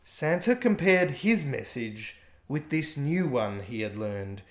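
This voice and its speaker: Animated man reading a rhyming storybook. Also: mid-range voice, takes it slowly. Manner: gentle, expressive read-aloud. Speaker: author reading his book. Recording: echoey room.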